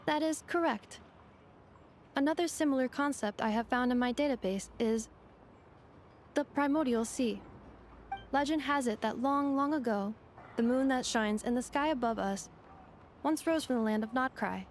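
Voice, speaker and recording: A woman's voice: high-pitched, moderate pace, pauses often, a little hiss or hum, close to an everyday voice. A woman voicing a polite, analytical robot girl in a video game, speaking formally and earnestly as she explains. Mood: informative, calm. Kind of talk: video game character dialogue.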